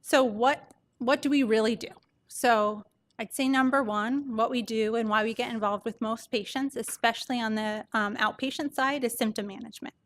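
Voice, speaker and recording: Woman, soft voice, slightly high pitch, conversational explaining, informal medical talk. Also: steady tone, moderate pace. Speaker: palliative care doctor presenting. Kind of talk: informal medical presentation to an audience.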